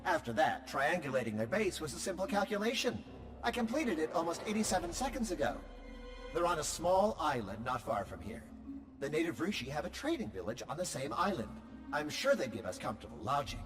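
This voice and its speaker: Fussy robot servant, game cutscene, overly polite, cheerfully tactless, very lively pitch, clear music behind. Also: male voice, fairly quick. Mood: eager, proud. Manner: prim, overly polite, theatrical. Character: fussy, chatty male droid, cheerfully tactless. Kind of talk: video game cutscene acting.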